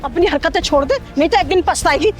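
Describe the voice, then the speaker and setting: A woman's voice: very high-pitched, quick pace, faint music behind. A middle-aged woman playing a worried mother who reproves and pleads in a short moral drama. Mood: worried, pleading.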